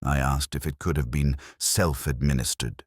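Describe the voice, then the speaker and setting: A man narrating a scripted detective drama as a weary, reflective police inspector, in a brooding, measured, literary manner. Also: extremely low pitch, fairly even intonation, slightly rough, dry recording, studio quality. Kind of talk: scripted first-person audio drama narration.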